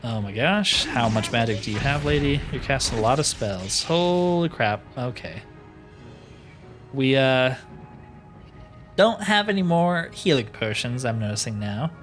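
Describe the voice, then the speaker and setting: A man's voice: mid-range voice, lively intonation, unhurried, a bit bright, soft background music. A man giving casual playthrough commentary as a gaming host, thinking aloud in short, informal remarks.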